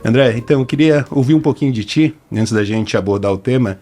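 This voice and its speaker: A man's voice: medium pitch, fast, dark tone. A man hosting a podcast interview, chatty and informal. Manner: chatty, informal, repeats words while thinking. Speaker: podcast host.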